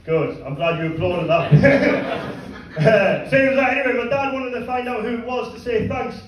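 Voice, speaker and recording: A man with a fairly high voice works a comedy club crowd, mixing crude deadpan punchlines with halting, stumbling asides. He sounds distant and echoey, picked up from across a reverberant room. Audience laughter and room noise sit behind him. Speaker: stand-up comedian. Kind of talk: stand-up comedy set.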